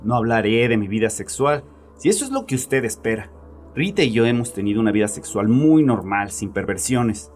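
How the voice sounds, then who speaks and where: A man's voice: on the low side, animated, moderate pace, darkish tone, faint music behind, lightly acted. A man playing a bitter, domineering grieving father who confides in a therapist with agitated, sarcastic arrogance in a horror audio drama. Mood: angry, defensive.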